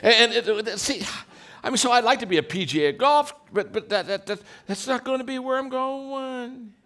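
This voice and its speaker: A man with a deep voice giving a motivational talk, telling stories with many restarts and acted-out quotes. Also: normal speed, pushed and bright. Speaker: leadership speaker.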